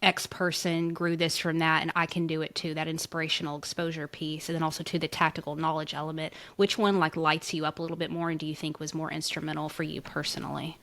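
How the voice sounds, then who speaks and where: A woman's voice: low-pitched, level tone, fast talker, few pauses, fairly bright tone. A woman hosting a casual interview podcast, talking in a folksy, chummy way. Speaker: podcast host interviewing a guest.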